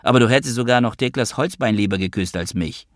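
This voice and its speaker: A man's voice: medium pitch, fast, no echo, studio quality, voice pushed up, natural voice. A man voicing a big, bossy woman in her twenties, commanding and insistent, in an audiobook. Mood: bossy, reproachful. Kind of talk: audiobook character voice.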